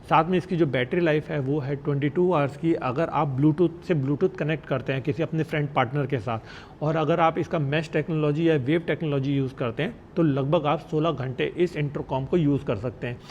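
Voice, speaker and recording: A man's voice: a little high, fairly quick, a little mellow, dull audio, slight background noise. A man giving a casual product review as a vlogger, in a conversational way with English words mixed in. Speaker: motorcycle vlogger reviewing gear.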